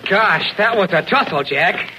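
An actor playing an eager, excitable high school boy sidekick in an old radio serial, with a gee-whiz manner, on a noisy vintage recording. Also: unhurried. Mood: triumphant. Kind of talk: 1940s radio serial acting.

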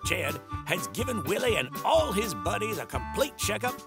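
A boastful Southern cowboy showman announces in a creaky voice that swings widely in pitch. He is folksy and hammy, a cartoonish comic-dub salesman over loud music. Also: sing-song, normal speed, heavily acted. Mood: showy, welcoming.

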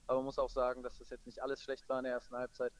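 A man gives a post-match interview as a football coach. He talks quickly and keeps his pitch very even. His manner is measured and matter-of-fact, at a middle pitch.

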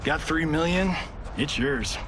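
An actor playing a cocky, wisecracking movie star in a video game cutscene, sarcastic and teasing. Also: male voice, fairly high pitch, takes it slowly, background noise. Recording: game audio with loud score.

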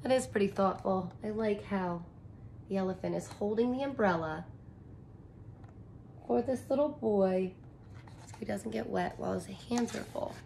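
A woman's voice: medium pitch, moderate pace, gravelly, pauses often, mellow, a little hiss or hum, poor audio. A woman in her thirties, a teacher reading a picture book aloud and explaining it expressively in simple phrases for young children. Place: small furnished room indoors.

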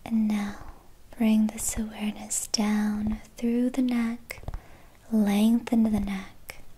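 A woman whispering a guided meditation in a slow, soothing manner. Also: mid-range voice, little pitch movement, warm tone, slight background noise, poor audio.

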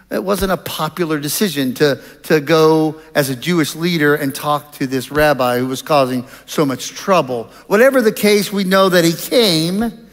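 Middle-aged male chapel preacher, slightly high voice, deliberate and explanatory, reverberant auditorium. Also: a bit bright. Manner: measured, deliberate delivery with pauses. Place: large auditorium stage. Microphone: headset microphone. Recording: reverberant hall sound.

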